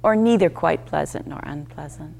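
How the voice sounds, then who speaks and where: A woman teaching a guided meditation, speaking in gentle, unhurried instruction with short, spaced phrases. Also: sits low, vocal fry, slight background noise.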